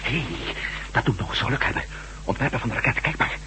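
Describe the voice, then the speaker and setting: Animated, uneasy adventurer played by a man. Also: medium pitch, fast, close to an everyday voice. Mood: intrigued. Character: Matt, uneasy adventuring former astronaut and reporter. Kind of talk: Dutch radio drama acting. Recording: noisy old radio drama recording.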